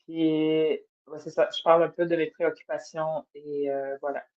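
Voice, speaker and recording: A woman's voice: low-pitched, little pitch movement, normal speed, darkish tone. Hesitant woman, lo-fi. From Atikamekw community in Quebec. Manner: hesitant, many fillers and restarts. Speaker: guest artist. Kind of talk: casual podcast conversation. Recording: dull lo-fi sound.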